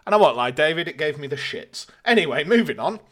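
British male podcast co-host, bright voice, chatty and jokey, casual delivery. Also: a little high, big pitch swings, fast talker. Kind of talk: casual chat.

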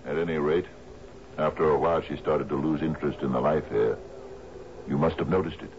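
An actor playing a troubled, grieving sea captain in a 1970s radio drama, speaking formally and haltingly. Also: male voice, rumbling low, a little mellow. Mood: uneasy, brooding. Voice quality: gravelly. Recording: muffled, noisy old radio broadcast.

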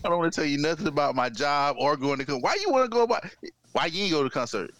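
A man's voice: a little high, animated, very fast, over a noisy crowd. A man co-hosting a casual sports talk show, teasing the host and mocking him. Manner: teasing, mocking, swings his voice up and down.

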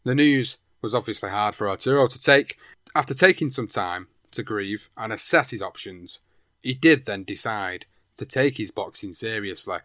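A man narrating a boxer's life story in a measured storytelling manner. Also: fairly low pitch, sharp tone, no room sound, clean studio sound. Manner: measured storytelling, sentences opened with "now". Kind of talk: documentary narration.

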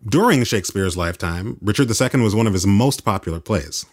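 A man's voice: on the low side, lively intonation, quick pace. A man hosting a radio arts program, delivering scripted narration as a writer and critic. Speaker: host, writer and theater critic.

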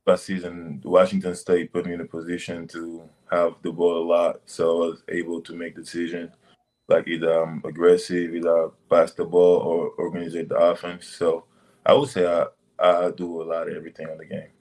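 A young man from Senegal, a basketball prospect, answering interview questions in loose, relaxed phrasing over a video call. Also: sits low, normal speed. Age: young adult. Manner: relaxed, loose phrasing with many "like" and "you know".

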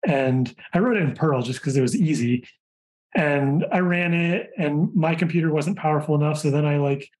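A man in his forties, a software engineer guest on a podcast, telling chatty anecdotes in a relaxed way. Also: mid-range voice, low-quality recording. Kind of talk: casual conversational interview.

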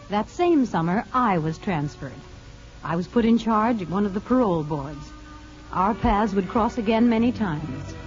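An actress playing a brisk, firm but kindly women's prison official in a measured 1950s radio drama style. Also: female voice, mid-range voice, darkish tone, close to an everyday voice. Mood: reflective. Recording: muffled old radio broadcast with music.

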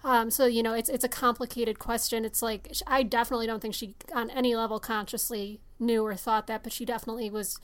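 Higher-pitched woman in casual film discussion. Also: flat delivery, fast talker, few pauses. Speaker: podcast panelist.

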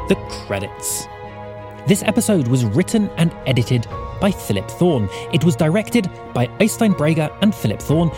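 Male podcast announcer, scripted credits read, lively intonation, music underneath. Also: a little high. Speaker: announcer reading outro and credits. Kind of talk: scripted narration.

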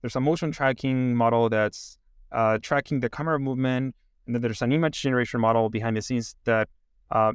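A man from Chile, in his thirties, talks through a software demo and his career in a loose, halting way, full of "like" and "um". His voice is smooth and clear, recorded close and dry with almost no room sound. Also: mid-range voice, no echo. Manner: halting, many fillers and restarts. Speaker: AI company founder giving a demo. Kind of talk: casual interview.

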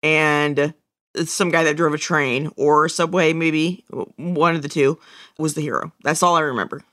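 A woman's voice: deep voice, steady tone, a little slow, fairly bright tone, no room sound, studio quality. A woman co-hosting a podcast, giving blunt, joking opinions in casual chat. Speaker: podcast co-host.

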